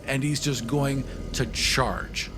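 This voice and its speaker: A man's voice: mid-range voice, slow, noisy background. A man playing a tabletop game, casually narrating his character's actions in vivid first-person detail. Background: music behind the voice.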